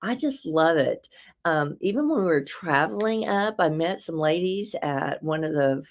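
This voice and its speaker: Casting: woman host, low and a touch raspy, chatty and rambling. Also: expressive, normal speed. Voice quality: slightly raspy. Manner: chatty, rambling, laughs often, warm asides to viewers. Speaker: host presenting beauty products. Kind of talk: casual live product demo.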